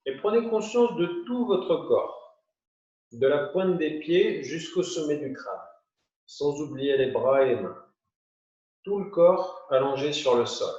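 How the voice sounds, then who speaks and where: A man teaching yoga nidra, guiding a meditation in short, unhurried phrases with pauses. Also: fairly high pitch, expressive, darkish tone. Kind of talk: guided meditation, spoken instructions. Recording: muffled, roomy, distant from the mic.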